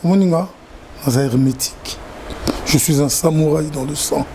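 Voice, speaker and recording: Halting Congolese man with a mellow voice. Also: on the high side, a little hiss or hum. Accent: Congolese French. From Democratic Republic of the Congo. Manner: halting, repeats words, slow measured delivery. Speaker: guest. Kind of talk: casual interview chat.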